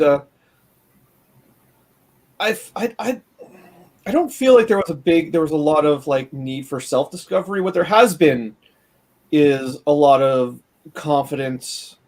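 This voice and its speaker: A man in his late thirties, speaking as a panelist in casual conversation, candid and halting with many fillers and restarts. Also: medium pitch. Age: late 30s.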